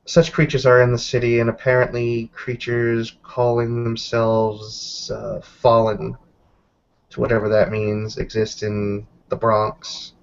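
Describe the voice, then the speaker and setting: A man playing a suspicious werewolf investigator in an improvised roleplaying game, choosing his words deliberately and often correcting himself. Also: medium pitch, completely flat delivery, gravelly. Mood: guarded, sceptical. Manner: deliberate, hesitant, self-correcting. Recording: dull lo-fi voice recording.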